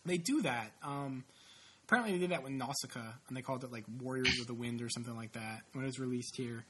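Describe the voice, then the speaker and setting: A man's voice: medium pitch, fast, heavy background noise. A man in his late twenties with a stuffy, sniffly nose, chatting casually in a rambling, profane, self-mocking way. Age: late 20s. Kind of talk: casual chat.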